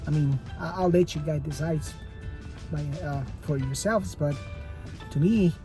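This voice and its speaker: A man's voice: on the high side, unhurried, dark tone. A man in his twenties, a native Spanish speaker, chatting casually in a fitness vlog, hesitant and self-mocking, full of fillers and restarts. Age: 20s. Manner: dry, self-mocking humor. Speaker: fitness vlogger. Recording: music playing under the voice.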